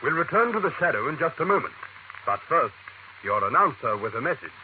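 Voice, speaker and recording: A man's voice: a little high, big pitch swings, a little fast, phone-quality sound, over music. A man narrating an old radio drama as its announcer, reading from a script. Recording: very noisy, thin old radio recording.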